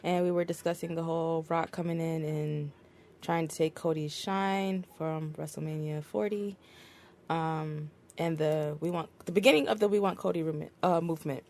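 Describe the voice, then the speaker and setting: A woman's voice: sits low, steady tone, moderate pace, a little mellow. A woman hosting a wrestling podcast, chatting casually with many fillers and easy laughter. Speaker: podcast host. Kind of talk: casual chat.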